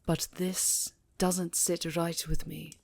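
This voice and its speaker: Low, slow vampire countess, played by a woman. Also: flat delivery, a little mellow, no room sound, heavily acted. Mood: earnest. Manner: measured, dramatic, breathy delivery dipping into whispers. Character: imperious, secretive vampire countess confessing to her lover. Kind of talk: intimate ASMR roleplay monologue.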